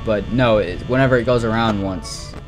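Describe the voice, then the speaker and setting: A man's voice: fairly low pitch, moderate pace, over music. A young man giving casual, rambling live commentary on a horror game, nervous and exclamatory, with some swearing. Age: young adult. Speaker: gaming streamer.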